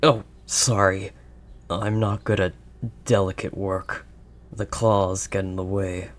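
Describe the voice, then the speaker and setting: A man voicing a gruff but kindly werewolf of the wild woods in an intimate audio roleplay, with a halting, gently protective manner. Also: low-pitched, level tone. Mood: gentle, awkward. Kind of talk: audio roleplay, intimate second-person acting.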